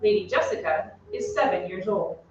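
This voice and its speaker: A woman's voice: on the low side, normal speed, a little mellow, natural voice. A woman reading scripted storytelling narration for an old-time style radio play. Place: theatre stage. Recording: echoey stage, distant mic, dull, faint noise.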